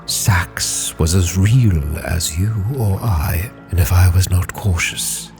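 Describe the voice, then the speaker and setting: A man giving solemn, brooding, theatrical first-person narration for a roleplay story. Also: low voice. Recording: prominent music behind the voice.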